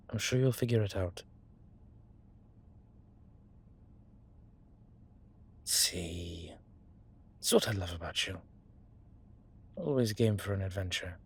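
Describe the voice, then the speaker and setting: A man as a low, husky, suave vampire. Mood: fond, persuasive. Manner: measured, wry, formal wording. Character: suave, sentimental male vampire, tired and scheming. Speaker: voice actor in an audio roleplay. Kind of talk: scripted intimate audio roleplay, one side of a conversation.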